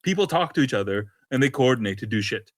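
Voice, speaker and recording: Man, podcast co-host, casual and opinionated, halting phrasing, close dry sound. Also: medium pitch, animated, no echo. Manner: halting, breaking off and rephrasing often.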